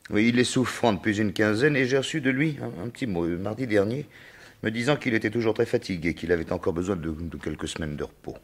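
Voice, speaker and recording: An actor playing an authoritative, melancholy baron of about fifty, formal and imperious yet halting, in a French radio mystery in 1950s style. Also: male voice, fairly low pitch. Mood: concerned, shocked.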